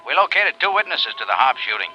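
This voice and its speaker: A man acting a brisk, businesslike detective assistant in an old-time radio drama. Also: medium pitch, sing-song, pushed and bright. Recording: dull vintage radio recording, faint music.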